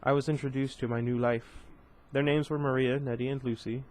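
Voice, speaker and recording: A man's voice: mid-range voice, little pitch movement, a little fast, a little mellow. Man casually reading a novel aloud. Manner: reads plainly, stumbles and corrects himself, breaks off for joking asides. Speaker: reader of a novel. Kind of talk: casual read-aloud with asides.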